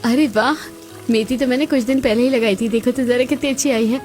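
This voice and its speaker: A woman's voice: on the high side, steady tone, a little fast, a little mellow, faint music behind, natural voice. A woman voicing a young, village-raised daughter-in-law in a cartoon, earnest and insistent in her speech. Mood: delighted.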